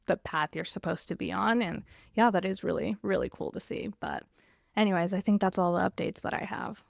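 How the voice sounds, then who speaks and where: Creaky-voiced young woman chatting casually. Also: medium pitch, brisk, no echo, studio quality. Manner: effusive, informal, frequent "like". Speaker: podcast co-host. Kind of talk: casual chat between friends.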